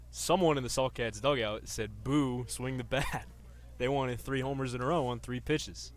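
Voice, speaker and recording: A man's voice: medium pitch, measured pace, long pauses. A man in his twenties working as a sports announcer, delivering reporting and live baseball play-by-play commentary. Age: 20s.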